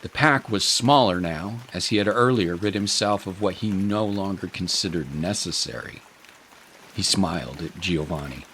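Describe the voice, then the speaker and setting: A man's voice: low-pitched, moderate pace, a bit bright, slight background noise. A man reading scripted, dramatic story narration as the narrator of an audio drama.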